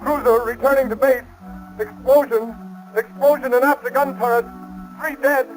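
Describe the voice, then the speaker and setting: A navy officer in a 1930s radio thriller, calling in an urgent report. His voice is high and grim, on an old radio recording. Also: steady tone, normal speed, over music, poor audio. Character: alarmed navy cruiser officer sending an urgent distress report.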